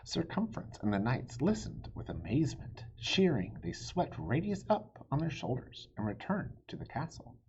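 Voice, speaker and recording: A man reading a children's storybook aloud as an expressive narrator. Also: mid-range voice, moderate pace, few pauses, whispered, dull audio. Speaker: storybook reader.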